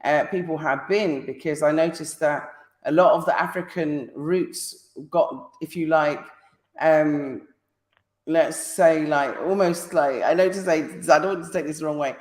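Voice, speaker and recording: A woman's voice: low-pitched, steady tone, unhurried, darkish tone, echoey room. A woman with a British accent hosting a casual live-stream interview, pressing her guest with repeated questions. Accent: British English.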